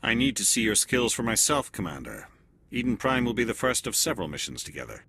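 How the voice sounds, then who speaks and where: An actor playing a male turian alien agent in a video game cutscene, calm and clipped with quiet authority. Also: fairly low pitch, brisk, slightly rough, exaggerated voice. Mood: measured. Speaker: elite agent observing a mission.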